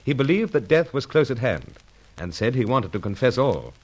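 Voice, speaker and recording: An actor playing an unflappable British secret agent, speaking and narrating in clipped, understated, old-fashioned gentlemanly phrases in a radio adventure serial style. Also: male voice, medium pitch, very animated, moderate pace, low-quality recording. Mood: grave narration.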